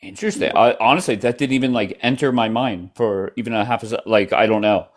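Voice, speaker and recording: A man hosting a casual livestream chat, blunt and quick to cut in on his guests. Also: lowish voice. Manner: blunt, apologetic, talks over guests. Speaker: livestream host. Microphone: headphones in, at home.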